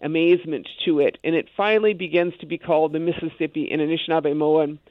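A man's voice: a little high, fairly even intonation, fast, no echo. Man talking over a tinny phone line. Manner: loose and conversational, many asides. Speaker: guest. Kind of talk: casual conversation on a radio show.

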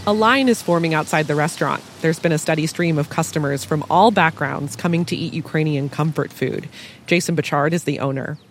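A woman reporter narrating a news feature in a measured, even way. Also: deep voice, a little hiss or hum.

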